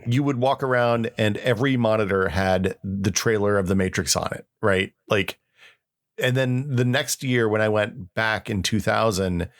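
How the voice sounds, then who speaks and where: Middle-aged American man, lowish pitch, dry sound, informal podcast chat with slangy phrasing. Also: moderate pace, no echo. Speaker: podcast co-host. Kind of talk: casual tech nostalgia chat.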